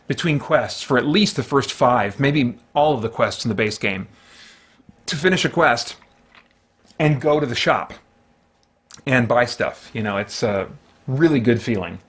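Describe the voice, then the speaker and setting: A man hosting a solo tabletop game, talking casually and thinking aloud in a rambling way as he plays. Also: mid-range voice. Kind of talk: casual game play-through commentary.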